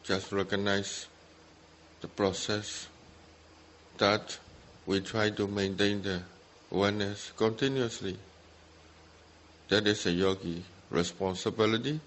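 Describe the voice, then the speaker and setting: Low-voiced man guiding meditation, non-native English. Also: steady tone, slow, long pauses, a bit bright, slight background noise. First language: not English. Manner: short simple phrases with gaps between them. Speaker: Buddhist meditation teacher. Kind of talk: guided meditation instruction. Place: meditation retreat talk.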